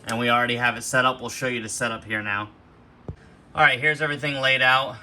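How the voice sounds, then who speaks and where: A man's voice: mid-range voice, steady tone, quick pace, background noise. A man hosting an unscripted product walkthrough, explaining things step by step in an instructional way. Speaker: host of a gear demonstration video.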